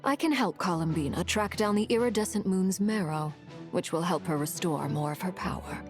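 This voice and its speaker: Woman playing a composed game villainess type, lowish voice, measured and formal, music behind her. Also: lightly acted. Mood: cool, composed. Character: composed, guarded woman Harbinger, coolly formal. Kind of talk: video game character acting. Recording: background music under the voice.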